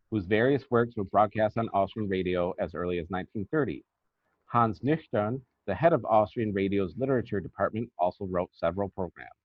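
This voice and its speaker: A man's voice: on the low side, dry recording. A man reading a scripted academic paper aloud, on a muffled, narrow-band recording. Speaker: academic researcher presenting a paper.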